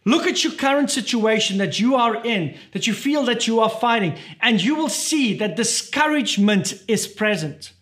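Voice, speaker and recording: A man's voice: very high voice, expressive, normal speed, hardly any pauses, fairly bright tone. A man preaching a sermon as pastor, urgent and emphatic, repeating his key points.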